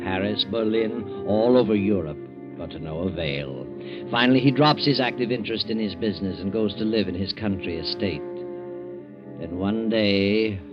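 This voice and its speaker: Actor as deep, sinister radio mystery narrator. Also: male voice, natural voice. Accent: American. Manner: ominous, theatrical, deliberate. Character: sinister all-knowing male narrator who walks by night. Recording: muffled old radio broadcast, music behind.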